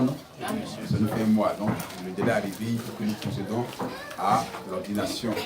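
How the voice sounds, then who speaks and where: Pastor in his forties, echoey church. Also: mid-range voice. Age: 40s. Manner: punctuates phrases with alleluia and amen. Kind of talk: sermon. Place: church hall. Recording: echoey hall, distant mic, noisy.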